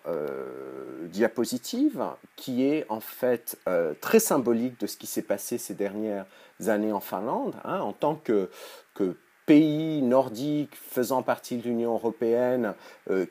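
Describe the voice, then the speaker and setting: A man with flu and a hoarse voice giving a recorded conference talk as a professor, hesitant, with many fillers and restarts in a critical lecturing manner. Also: medium pitch, a little mellow. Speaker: university professor.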